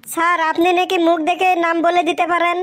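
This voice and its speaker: A woman's voice: very high voice, completely flat delivery, fast. A woman voicing a polite but cheeky, stubbornly earnest schoolboy in a comedy cartoon.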